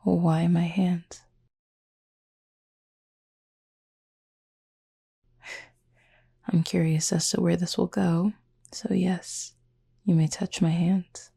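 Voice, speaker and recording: Woman voicing a husky, gravelly, reserved cupid roommate. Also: fairly low pitch, little pitch movement, exaggerated voice. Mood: curious, trusting. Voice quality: husky, breathy, often near whisper. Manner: formal, measured, gently wry. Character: reserved, formal, tender supernatural cupid roommate. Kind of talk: intimate audio roleplay acting to the listener.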